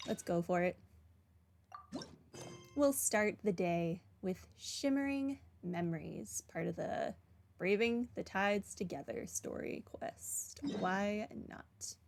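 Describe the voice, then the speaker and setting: A woman's voice: mid-range voice, slow, creaky, darkish tone, a little hiss or hum. A woman streamer giving casual, rambling game commentary in a dry, wry manner. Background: game music.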